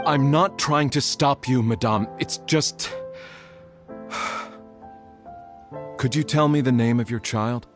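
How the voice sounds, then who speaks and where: Man playing a slow-spoken, patient doctor. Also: mid-range voice, soft background music, close to an everyday voice. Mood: hesitant. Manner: polite, hesitant, careful. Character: patient, placating male doctor. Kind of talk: video game voice acting.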